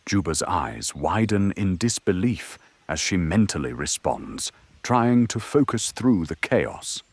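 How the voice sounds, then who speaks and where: Deep, animated male drama narrator. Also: takes it slowly, slightly rough, no echo. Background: music.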